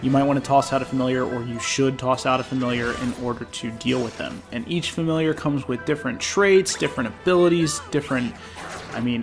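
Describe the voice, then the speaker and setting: A man with a blocked, nasal voice from a cold chats loosely through a video game preview in a mid-range voice. He talks with an easygoing, off-the-cuff manner, like an editor walking viewers through the game. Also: mid-range voice. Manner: casual, chatty, spontaneous. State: congested, nasal from an infection. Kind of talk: gameplay preview commentary.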